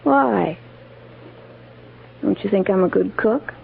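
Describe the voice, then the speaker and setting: A woman's voice: medium pitch, takes it slowly, vocal fry, husky, exaggerated voice. An actress playing a plucky, forthright frontier settler woman in a 1950s radio western, direct in her speech. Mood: coaxing. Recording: muffled, hissy old-time radio recording.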